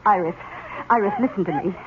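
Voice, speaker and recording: An actress playing an earnest, protective aunt with a coaxing, theatrical manner, in 1970s radio drama style. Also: female voice, mid-range voice, brisk, close to an everyday voice. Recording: tinny, faintly noisy old radio recording.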